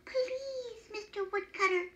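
A woman acts out a little male elf from a children's storybook. The voice is small and very high, speaking slowly and politely. He pleads gently and with solemn care. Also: expressive, full character voice. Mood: pleading, polite. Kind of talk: children's storybook character reading.

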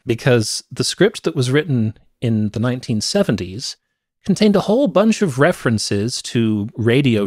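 A man's voice: medium pitch, darkish tone, no room sound, studio quality. A middle-aged man hosting a movie podcast, telling film history in a conversational, explanatory way. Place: small cluttered home office, indoors. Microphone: close podcast microphone with headphones.

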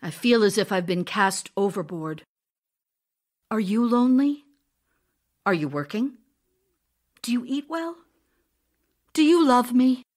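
An actress playing a passionate, impatient, loving stage actress in an audio drama of love letters, speaking in an ardent, demanding, theatrical way. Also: female voice, on the high side, lively intonation, unhurried, pauses often, a little mellow. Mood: longing.

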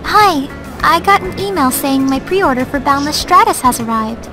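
A timid teenage girl from an anime game. Her voice is extremely high and soft-spoken, with a hesitant, shy delivery. Music plays clearly behind her. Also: lively intonation, normal speed. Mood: shy, polite. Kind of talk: visual novel voice acting.

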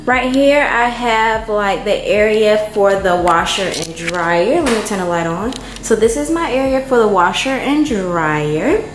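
A woman vlogger giving a casual, unhurried walkthrough of an empty apartment, filmed on a handheld camera. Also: medium pitch, animated, poor audio. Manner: unhurried, chatty, many ums. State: hot and worn out from moving.

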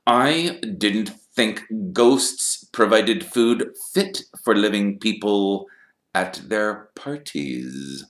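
A man's voice: lowish voice, expressive, fairly bright tone, reverberant, voice pushed up, exaggerated voice. A man voicing a cold, sneering schoolteacher from a storybook, drawing out his words with sly suspicion. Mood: sneering, triumphant. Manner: slow, drawn-out, insinuating.

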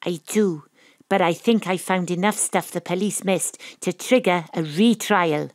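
Actress doing wry woman private eye narrating an audio drama, lowish voice, dry close sound. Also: measured pace, no room sound, lightly acted. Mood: cool, pressing. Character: determined, wry female Welsh private detective. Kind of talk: audio drama acting and first-person noir narration.

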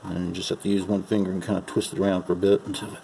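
Deep gravelly guy doing a casual diy how-to while he works, bit of background noise. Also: flat delivery, very fast, mellow, poor audio. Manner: casual, folksy instruction, calling parts "her". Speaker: amateur mechanic giving a repair demonstration. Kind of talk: unscripted how-to narration while working. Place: at a car's open engine bay.

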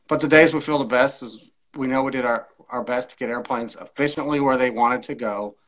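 A man in his forties speaking as an interview guest in a measured, explanatory way, giving practical advice on a video call. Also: mid-range voice, fairly bright tone, rough recording. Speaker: air traffic controller, podcast guest. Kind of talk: conversational interview, explaining tips. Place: small indoor room, video call.

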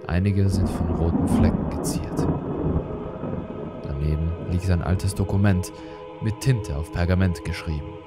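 A man's voice: low voice, steady tone, unhurried, over loud music. A man reading a scripted horror story as narrator, with dramatic delivery.

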